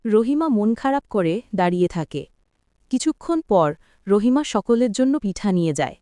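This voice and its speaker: A woman's voice: mid-range voice, very animated, moderate pace, dark tone, smooth, no room sound. A woman narrating a scripted children's cartoon story.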